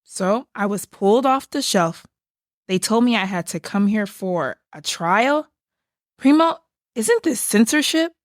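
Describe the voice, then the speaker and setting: A woman's voice: medium pitch, lively intonation, a little slow, dry recording, lightly acted. Woman playing an earnest book puppet, dry close sound. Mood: earnest, concerned. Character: frank, concerned banned book puppet, loyal friend. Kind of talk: theatrical puppet musical acting.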